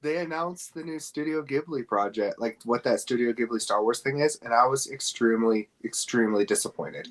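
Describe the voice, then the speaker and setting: A man's voice: medium pitch, fairly quick, hardly any pauses. A man co-hosting a podcast, chatting casually and giving his opinions in a conversational way. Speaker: podcast co-host. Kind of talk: casual chat about games and film news.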